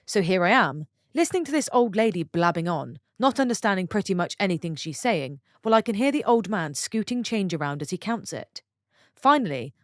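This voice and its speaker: A woman reading online stories aloud for her channel, stopping for chatty, expressive asides to her viewers. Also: lowish voice, no echo, studio quality. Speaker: story channel narrator.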